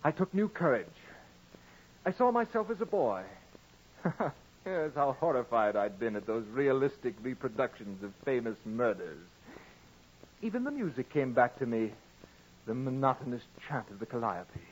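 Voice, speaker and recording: An actor playing a panicked, guilt-ridden murderer, narrating a horror radio drama in a melodramatic way with dramatic pauses. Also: male voice, medium pitch, sing-song. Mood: tense, brooding. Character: guilt-ridden, panicked male murderer confessing his crime. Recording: muffled vintage radio recording with music.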